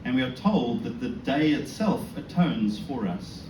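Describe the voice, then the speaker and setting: A man's voice: mid-range voice, lively intonation, a little slow. A South African man giving an informal religious talk to an audience, conversational and self-deprecating, often posing rhetorical questions. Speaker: speaker giving a talk at a synagogue. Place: indoors in a synagogue, before an audience. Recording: echoey room, distant mic, noisy background.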